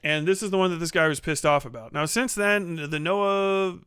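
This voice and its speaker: A man commentates casually on a livestream in a fairly high, clean voice. The sound is dry and close, and he is blunt, with plenty of swearing. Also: normal speed, a bit bright, clear, resonant tone, dry recording. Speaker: livestream host.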